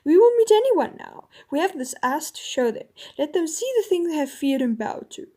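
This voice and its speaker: A woman voicing a stern young king who speaks loudly and with command. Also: sits high, normal speed, close to an everyday voice. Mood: rousing. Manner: loud, commanding.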